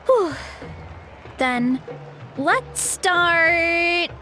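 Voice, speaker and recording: A woman's voice: very high-pitched, expressive, very slow, bright, forceful tone, exaggerated voice. An actress playing a sweet, easygoing young farm girl in a video game, eager, modest and polite. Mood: friendly, easygoing. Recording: music playing behind the voice.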